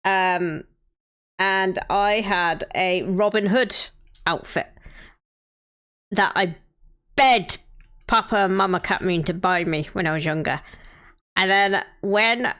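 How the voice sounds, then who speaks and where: A woman's voice: medium pitch, takes it slowly, pauses often, fairly bright tone, clean tone. Chatty woman in her thirties. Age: 30s. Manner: chatty, thinks aloud, greets viewers by name. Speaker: streamer. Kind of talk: casual live-stream chat while playing a city-building game.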